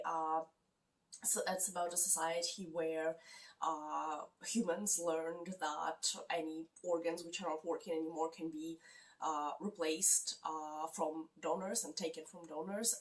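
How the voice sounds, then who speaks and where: A woman with a slightly low voice and a non-native English accent talks through her reading plans. She hesitates a lot and fills gaps with ums and uhs. She sits at some distance from the mic. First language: not English. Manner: hesitant, many fillers. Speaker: book vlogger. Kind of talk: casual chat. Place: lives in Canada.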